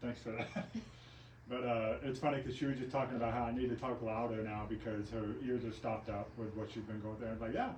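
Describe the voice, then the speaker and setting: A man with an Oklahoma accent hosting a casual live local news chat, relaxed and chatty, often laughing. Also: medium pitch, a little fast. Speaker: local news show host. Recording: roomy sound, mic at a distance, faint background hum.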